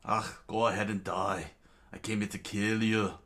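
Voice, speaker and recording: A man plays a grim storybook warrior standing against a king. His voice is low, blunt and stern, with steady defiance. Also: normal speed, vocal fry. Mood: cold defiance.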